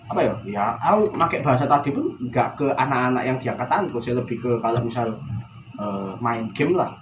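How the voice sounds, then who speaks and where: A young male student talks. His speech is colloquial and casual as he lays things out point by point. The recording carries clear background noise. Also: medium pitch, lively intonation, brisk, echoey room. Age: young adult. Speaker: university student, counselling client. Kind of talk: casual conversation.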